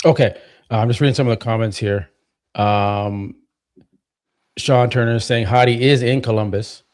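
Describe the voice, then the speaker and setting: A man hosting a live stream, relaxed and chatty, often saying "you know" and "right?". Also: mid-range voice, moderate pace. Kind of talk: casual live-stream chat.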